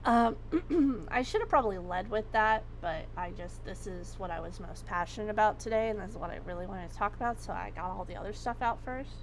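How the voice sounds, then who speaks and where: A woman livestreamer chatting casually, her voice going hoarse as she rambles and swears freely. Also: medium pitch, brisk, few pauses, slight background noise. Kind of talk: casual chat.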